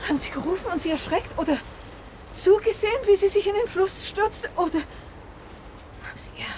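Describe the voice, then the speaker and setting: Casting: shrewd, agitated female amateur sleuth, high urgent voice, radio drama with light background noise. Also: normal speed, darkish tone. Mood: dawning realisation, accusing. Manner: urgent, emphatic, dramatic delivery. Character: crime novelist woman, shrewd, accusing, agitated amateur sleuth. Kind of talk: German radio drama acting.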